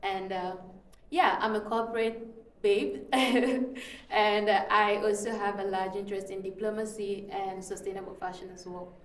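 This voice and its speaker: A woman's voice: medium pitch, distant mic, a little hiss or hum. A young woman from northern Ghana, a student guest in a casual interview, who talks in an easy way with many fillers and frequent laughs. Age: young adult. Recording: echoey room, voice a little off-mic.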